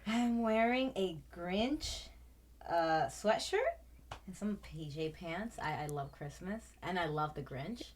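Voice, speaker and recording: A woman's voice: on the low side, animated, takes it slowly, slight background noise. Chatty thirty-year-old woman from Puerto Rico. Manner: loud, talkative, swears and laughs often. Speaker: guest. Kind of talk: casual interview chat.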